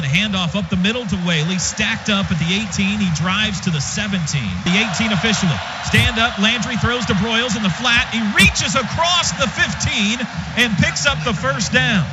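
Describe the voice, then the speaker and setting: American male football announcer, high voice, clipped excitable radio calls, lo-fi sound under heavy noise. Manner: clipped, rapid-fire phrases; excitable, voice rising on big plays. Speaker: play-by-play announcer. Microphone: broadcast booth microphone. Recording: dull lo-fi broadcast, loud stadium noise.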